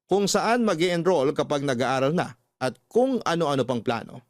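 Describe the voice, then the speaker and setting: A man narrating as a radio story host, in a scripted, sentimental style with measured phrasing. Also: medium pitch, dry recording, studio quality. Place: dry studio with soft music behind.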